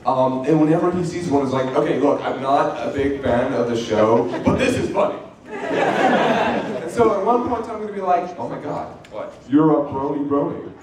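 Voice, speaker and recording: A man's voice: fairly high pitch, expressive, moderate pace, heavy background noise. A man chatting and joking casually as a convention panelist. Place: large hotel convention panel room. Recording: very echoey hall, far off, crowd. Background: audience crowd.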